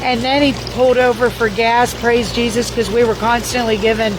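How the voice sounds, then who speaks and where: Hoarse woman, fairly high voice, profane rambling livestream host; noisy recording. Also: fairly even intonation, moderate pace. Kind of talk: casual livestream recap reading viewer comments. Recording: noisy background.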